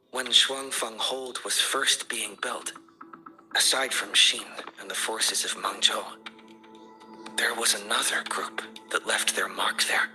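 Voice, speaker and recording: Thoughtful male scholar, courteous, deliberate, articulate, mid-pitched voice, video game exposition, music underneath. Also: sharp tone, rough recording.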